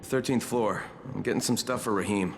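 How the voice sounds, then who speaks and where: An actor playing a steady undercover agent among zombie survivors in a video game. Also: male voice, fairly low pitch, normal speed, vocal fry, a little hiss or hum. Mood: guarded, calm.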